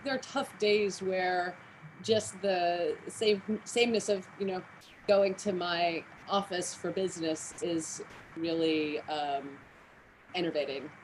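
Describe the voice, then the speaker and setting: Canadian-raised woman, measured and articulate, faint background hiss. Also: lowish voice, steady tone, poor audio. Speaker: theater artistic director. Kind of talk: video-call interview.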